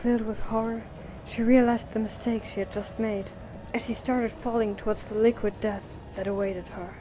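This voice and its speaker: A woman's voice: a little high, little pitch movement, moderate pace, noisy background. A woman reading scripted narration for an audio drama.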